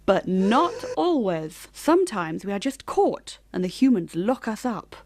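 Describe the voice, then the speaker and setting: A woman voicing an old male wandering bear in a children's storybook, grave and knowing, explaining things with unhurried emphasis. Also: medium pitch, big pitch swings, darkish tone, no echo.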